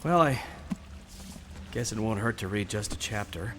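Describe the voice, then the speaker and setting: A man plays a busy modern father in an audio drama. He is hesitant and flustered, with a lively, rising and falling voice over music. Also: lowish voice, moderate pace, close to an everyday voice.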